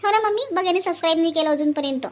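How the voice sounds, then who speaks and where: Woman acting a very high, childlike, pampered daughter. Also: racing, muffled recording. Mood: sweet, coaxing. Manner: wheedling, childlike, protesting. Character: pampered, clingy young daughter about to marry. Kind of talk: homemade cartoon family skit acting.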